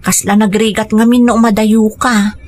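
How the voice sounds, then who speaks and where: A woman's voice: on the high side, flat delivery, normal speed, darkish tone, natural voice. Woman acting a reluctant young wife, faint noise. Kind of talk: Ilocano radio drama acting.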